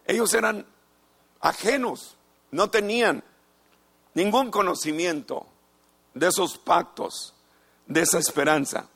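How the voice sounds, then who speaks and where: A middle-aged man preaches with an unhurried, measured delivery. His voice sits on the higher side, and he repeats phrases and lets pauses hang between them. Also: vocal fry, a bit bright, husky. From United States. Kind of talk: sermon.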